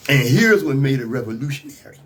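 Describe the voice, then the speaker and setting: A man with an American accent and a slightly rough voice, hosting a radio talk show with emphatic, rhetorical commentary. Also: medium pitch, animated, a little fast, fairly bright tone. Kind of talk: radio monologue mixing scripted commentary and casual asides.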